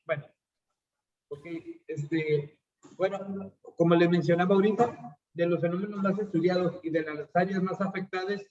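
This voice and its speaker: A man's voice: high-pitched, fairly even intonation, normal speed, darkish tone, dull audio. A man giving an online seminar on addiction counseling, explaining concepts step by step with frequent fillers. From Mexico. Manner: explanatory, uses fillers like "este".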